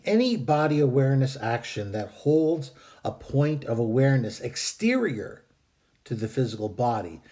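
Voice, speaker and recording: A man hosting a video, calmly reading out and explaining a meditation technique with some hesitant fillers, while fighting a cold that is costing him his voice. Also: mid-range voice. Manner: calm, measured, with hesitant fillers. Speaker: host.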